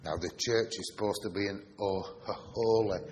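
Yorkshire preacher in an echoing hall. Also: male voice, fairly low pitch, darkish tone. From Yorkshire, England. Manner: emphatic, drawn-out stresses with rhetorical questions. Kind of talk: sermon. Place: church hall. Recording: reverberant hall with faint noise.

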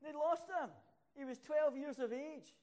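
An older man preaching a sermon and telling stories from his ministry, climbing to loud, high peaks when he stresses a point. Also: a little slow.

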